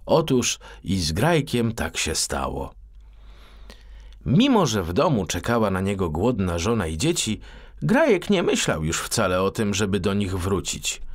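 A man narrating a scripted children's fairy tale audiobook in an expressive, theatrical storytelling manner. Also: lowish voice.

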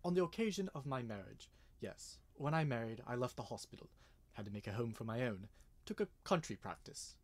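Young man as eccentric scientific enthusiast, halting, husky, dry sound, old radio play. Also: medium pitch, expressive, no echo. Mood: fussy. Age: young adult. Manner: fussy, halting, eager. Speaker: student actor. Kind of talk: 1930s-style radio drama acting.

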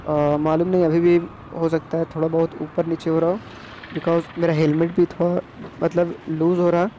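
A man's voice: on the high side, monotone, moderate pace, mellow, muffled recording. A young man from India narrating a casual moto vlog from a scooter in traffic, recorded on a phone on his helmet mount. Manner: rambling, repeats himself, chatty. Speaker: moto vlogger. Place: outdoors on a scooter in road traffic. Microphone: phone on helmet chin mount.

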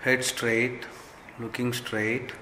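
A man leading a guided meditation as a spiritual teacher, giving gentle instructions in short, measured phrases. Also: medium pitch, level tone. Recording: long hall reverb, faint background noise.

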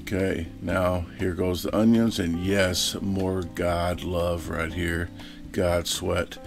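Deep gravelly food reviewer. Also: male voice, level tone, takes it slowly, over music. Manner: rambling, laid-back, full of ums and uhs. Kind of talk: casual voice-over narration.

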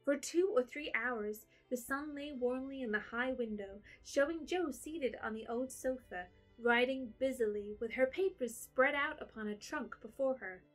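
A woman reads the narration of a period story in a measured, gentle manner. Her voice sits a little high. Faint music plays underneath. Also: rough recording.

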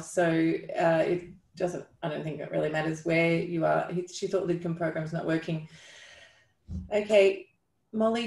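Middle-aged woman, roomy video-call sound, creaky voice, informal halting answers, conversational. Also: lowish voice, darkish tone. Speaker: clinician leading a question session. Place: room with brick wall, indoors. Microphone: video call on a computer.

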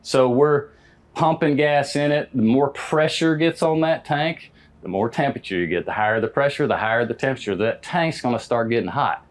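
A middle-pitched American man teaches as an experienced trade technician. He talks in a folksy, plain-spoken manner, walking through a hands-on demonstration step by step. The delivery is casual and practical. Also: fairly quick. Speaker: HVAC technician instructor. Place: indoor parts warehouse. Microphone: lapel mic.

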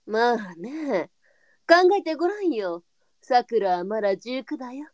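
A woman's voice: on the high side, lively intonation, a little slow, warm tone, no room sound, studio quality. A woman playing a pragmatic, brusque brothel mistress in a live period voice drama, with a blunt and knowing way of talking. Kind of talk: online live voice drama acting.

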